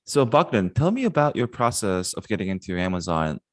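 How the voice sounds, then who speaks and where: A man with a fairly low voice hosts the interview. He asks short, polite questions, and his sound is dry with no room echo. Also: big pitch swings, a little fast. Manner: polite, brief questions and affirmations. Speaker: interview host. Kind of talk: casual career interview.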